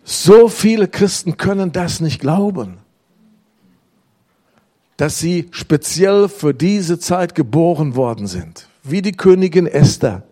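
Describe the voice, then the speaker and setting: A middle-aged male pastor preaching to his congregation. His voice is mellow and sits a little higher than most men's. He acts out prayers and quoted voices as he goes. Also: expressive, slow. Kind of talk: sermon. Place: church hall with congregation.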